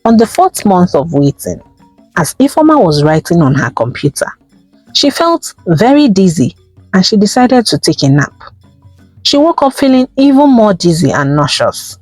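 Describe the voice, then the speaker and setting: A woman with a Nigerian accent telling a folktale, expressive and emphatic, with dramatic pauses. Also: lowish voice, dry recording, faint music behind, low-quality recording. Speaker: storyteller, host of a folktale channel.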